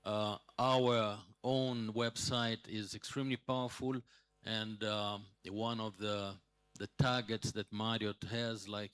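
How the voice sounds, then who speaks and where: A man in his sixties giving a conference talk as a hotel manager, pausing often with fillers and restarts. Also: on the low side, a bit bright, clear, resonant tone, dry recording. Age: 60s. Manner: hesitant, many fillers and restarts.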